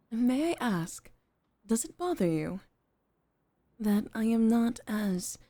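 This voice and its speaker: A woman playing a composed, formal head maid as a devoted girlfriend in an intimate ASMR roleplay, speaking softly and often near a whisper. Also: medium pitch, no echo. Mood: tender, composed. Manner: soft, measured, formal, often dropping toward a whisper.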